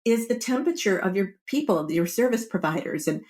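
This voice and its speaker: A woman in a podcast interview, speaking at a middling pitch. She explains things like a coach, in a conversational way, and slips into short example quotes to make her points. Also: lively intonation, a little fast, a little mellow. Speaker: wellbeing coach, podcast guest.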